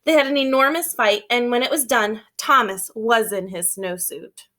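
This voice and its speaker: A woman with a high, bright voice reads a picture book aloud like a schoolteacher. She is expressive and emphatic, stopping to explain things to children. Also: moderate pace. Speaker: teacher reading aloud to children. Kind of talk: animated children's storybook read-aloud.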